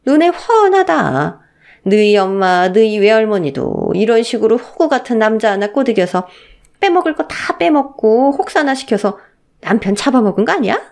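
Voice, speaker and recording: A woman plays a domineering older mother-in-law in a story drama. Her scolding is shrill and scornful, swinging widely in pitch with a haughty sneer. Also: big pitch swings, moderate pace, vocal fry, darkish tone. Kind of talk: Korean melodramatic story acting.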